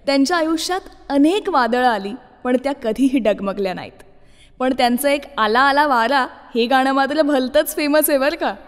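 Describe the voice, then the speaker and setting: A woman's voice: high voice, moderate pace, clean tone. A woman presenting a radio show in a scripted narrating style. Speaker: radio host. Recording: long reverb, music behind. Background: music.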